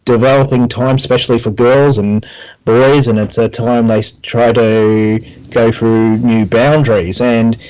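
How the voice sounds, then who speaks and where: A man's voice: mid-range voice, little pitch movement, unhurried, a little mellow, clear, resonant tone, rough recording. A middle-aged man hosting a podcast interview, speaking earnestly and often restarting his sentences. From Australia. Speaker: podcast host, personal trainer.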